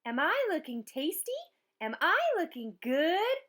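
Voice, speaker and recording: A woman's voice: high-pitched, very animated, unhurried, fairly bright tone, heavily acted. A woman voicing a sassy female possum in a storybook read-aloud, teasing in a sing-song way.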